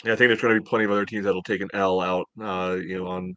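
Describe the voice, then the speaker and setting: A man's voice: sits low, little pitch movement, fast, pushed and bright. A middle-aged American man casually analysing sports as a podcast co-host on a video call. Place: room at home, indoors. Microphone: video call from a computer.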